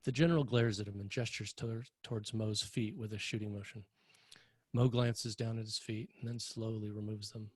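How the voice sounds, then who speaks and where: Male table-read narrator: deeper, husky, dry sound, scripted delivery of stage directions. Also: fairly even intonation, moderate pace, a little mellow, dry recording.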